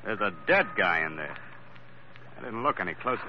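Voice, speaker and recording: A man's voice: fairly low pitch, very animated, a little fast, soft background music. A man acting a plain-spoken, dutiful but shaken deckhand in a 1940s radio drama. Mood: shaken, grave. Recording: muffled, noisy old radio broadcast.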